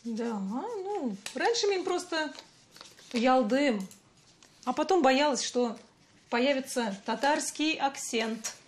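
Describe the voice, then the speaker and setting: An actress playing a patient, playful mother in her forties with a Russian accent, gently explaining things to her child in a TV sitcom. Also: sits high, lively intonation, takes it slowly, slight background noise. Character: bilingual mother, patient, fond of teaching. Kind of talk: television sitcom acting.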